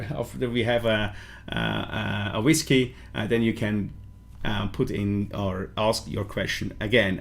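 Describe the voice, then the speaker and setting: A man with a Swiss German accent hosting a live stream, switching between languages, with frequent fillers and restarts. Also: medium pitch. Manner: hesitant, many fillers and restarts. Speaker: livestream host. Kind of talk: bilingual live stream talk.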